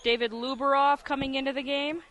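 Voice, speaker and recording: A man's voice: extremely high pitch, level tone, a little fast, sharp tone. A man calling basketball live on the radio as play-by-play announcer, in clipped, vivid descriptive phrases. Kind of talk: live sports radio commentary. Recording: live broadcast with gym noise. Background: basketball game ambience, bouncing ball.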